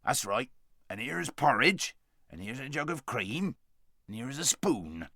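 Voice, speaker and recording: Guy playing a gruff little dwarf, bossy and bustling, loud bursts, lively up-and-down voice. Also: mid-range voice, a little slow, no echo, studio quality, exaggerated voice. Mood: bustling, kindly. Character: brisk, gruff, kindly male red dwarf.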